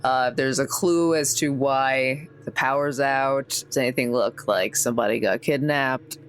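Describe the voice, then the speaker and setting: A woman's voice: extremely low pitch, normal speed. A woman chatting casually and playfully as a player in a tabletop roleplaying game.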